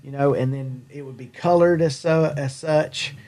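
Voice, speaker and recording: A man's voice: a little high, steady tone, moderate pace, darkish tone. A man from Tennessee with a Southern American accent, chatting casually as a livestream host in a rambling, jokey, self-deprecating way. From Tennessee, USA. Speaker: livestream host and hat company owner. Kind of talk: casual live chat with viewers.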